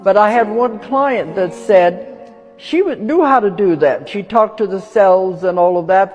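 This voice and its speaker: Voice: medium pitch, muffled recording. A speaker telling anecdotes in a talk to an audience. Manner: storytelling, anecdotal. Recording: long reverb with faint music.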